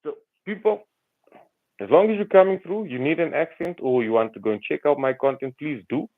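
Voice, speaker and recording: A man's voice: fairly high pitch, expressive, fairly quick. A South African man chatting casually to livestream viewers, earnest and rambling. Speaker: livestreamer and community volunteer. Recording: tinny phone-like livestream audio.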